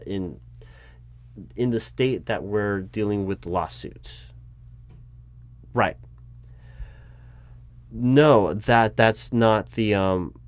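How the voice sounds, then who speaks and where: A man acting as a corporate recruiting manager with a fairly low voice. He is frank and exasperated, speaking in a conversational roleplay style. He hesitates, backs up to correct his wording, and keeps explaining his point. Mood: flustered, objecting. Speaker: roleplay audio performer. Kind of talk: one-sided conversational audio roleplay.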